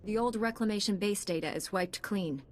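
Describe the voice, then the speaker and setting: An actress playing an earnest, plucky and dry-witted young heroine in a sci-fi video game. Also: female voice, medium pitch, brisk, lightly acted. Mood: sympathetic. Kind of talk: acted video game dialogue.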